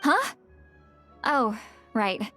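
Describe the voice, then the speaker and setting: High-voiced, brash, cocky tracker. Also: female voice, big pitch swings, very slow, over music, exaggerated voice. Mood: flustered, bossy. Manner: breezy, blunt, grumbling. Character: brash, cocky, hot-tempered hard-drinking woman tracker. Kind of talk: animated video game voice acting.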